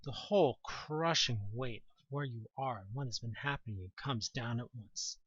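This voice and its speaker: A man reading scripted second-person audio drama narration in a deliberate, dramatic storytelling manner. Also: mid-range voice, a bit bright, slightly rough, no echo, muffled recording.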